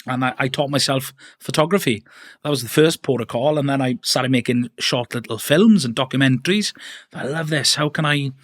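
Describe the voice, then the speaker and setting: A man's voice: medium pitch, fairly quick, a bit bright. Chatty man telling his story. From Wales. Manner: chatty, rambling, many fillers and restarts. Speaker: podcast guest. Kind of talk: casual interview storytelling.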